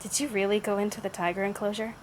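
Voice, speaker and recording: A woman's voice: mid-range voice, little pitch movement, fast talker, a little hiss or hum, close to an everyday voice. Actress as wary, skeptical young woman, faint ambience. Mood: curious, wary. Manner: challenging, uneasy. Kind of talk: naturalistic audio drama acting.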